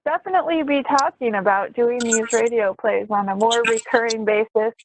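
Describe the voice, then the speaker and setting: A woman's voice: a little high, normal speed, noisy background. A woman moderating a panel discussion, introducing speakers and thanking them. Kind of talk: event hosting, thanking panelists.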